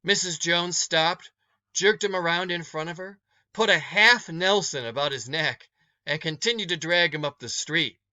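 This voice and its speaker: A man's voice: a little high, moderate pace, pushed and bright, highs cut off. A man reading a story aloud to students as a teacher, in a read-along narration.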